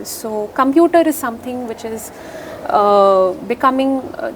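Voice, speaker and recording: A young woman doctor with an Indian English accent answers interview questions in a casual, hesitant way, often restarting her sentences. Her voice sits fairly high. A faint hiss lies under the recording. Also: low-quality recording. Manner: hesitant, many fillers and restarts. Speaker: physician, interview guest.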